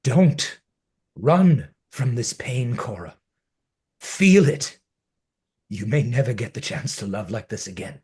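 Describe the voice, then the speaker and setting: Male, mid-pitched and husky, an actor as a brooding century-dead gentleman with dry wit, slow and theatrical, for a screenplay read. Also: expressive, full character voice. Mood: earnest, intense. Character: melancholy, dry-witted dead man over a century old.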